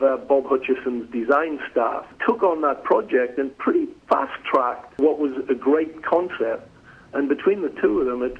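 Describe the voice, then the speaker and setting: A middle-aged man from the northeast of England, with an English accent softened by years in the US. He is a company president giving an interview and often repeats a word as he starts a sentence. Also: medium pitch, slightly rough. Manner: conversational, repeats words when starting sentences. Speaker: flooring company president, guest. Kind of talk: phone interview. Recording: tinny phone line.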